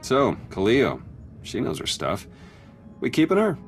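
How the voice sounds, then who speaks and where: A man playing a sober, conciliatory officer in a video game, with a restrained, measured delivery. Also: medium pitch, huge pitch swings. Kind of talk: video game cutscene acting. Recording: loud music over dialogue.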